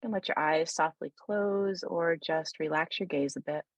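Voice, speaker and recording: Low, husky woman guiding meditation. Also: no room sound. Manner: soothing, unhurried, informal, with pauses mid-thought. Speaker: meditation guide. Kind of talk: unscripted guided meditation.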